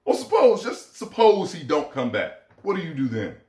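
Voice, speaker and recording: A man's voice: on the high side, very animated, normal speed, darkish tone. A man acting as bitter, lonely American ranch hand, echoey. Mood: taunting. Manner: folksy rural vernacular, musing and pressing. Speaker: actor. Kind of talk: dramatic reading of a novel. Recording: echoey room.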